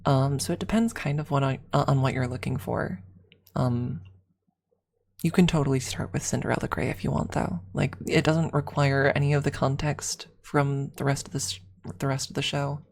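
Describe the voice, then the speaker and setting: A woman's voice: extremely low pitch, steady tone, normal speed, creaky, darkish tone. A woman streamer rambling casually to her viewers on an ASMR livestream, talking in a gushing, hyperbolic fan's manner. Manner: gushing, hyperbolic fan talk. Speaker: streamer answering viewer chat.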